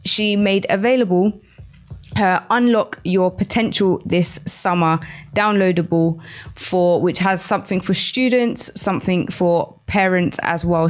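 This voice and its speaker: A woman with a slightly low voice hosts an educational radio programme. She speaks straight to her listeners, putting questions and prompts to them as she presents. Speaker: radio show host.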